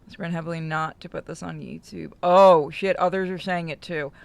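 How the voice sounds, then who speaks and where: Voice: medium pitch, steady tone, normal speed, vocal fry, clear, resonant tone, dry recording. A streamer co-hosting a playthrough, chatting casually, swearing freely and reading game narration aloud, with game music behind. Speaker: streamer co-host reading a visual novel aloud.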